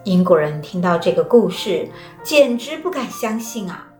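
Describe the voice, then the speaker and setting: A woman narrating a children's story in a lively, expressive way. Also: on the low side, normal speed. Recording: roomy, with faint music.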